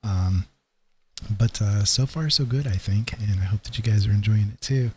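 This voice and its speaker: A man's voice: on the low side, moderate pace, dark tone, a little hiss or hum. A man hosting a casual livestream, rambling and hesitant as he chats to viewers. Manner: rambling, hesitant, chuckling asides. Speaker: livestream host.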